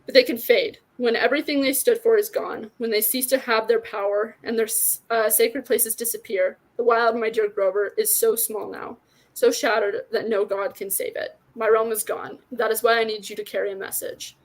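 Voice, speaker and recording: A woman voicing an ancient, fading male god of the wild from a storybook, gentle and forlorn, in a solemn, tender manner. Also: fairly high pitch, fairly even intonation, normal speed, rough recording.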